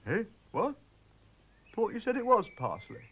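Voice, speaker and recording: Befuddled toff, high and bouncy, old kids cartoon. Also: male voice, wildly swooping pitch, unhurried, husky, heavily acted. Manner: plummy, bewildered. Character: dithering posh old English gentleman.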